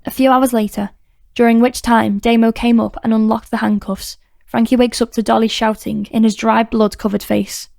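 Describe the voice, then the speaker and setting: Narrator, female: scripted crime audiobook reading, mellow tone, slightly high register, steady. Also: moderate pace, creaky, dry recording, clean studio sound.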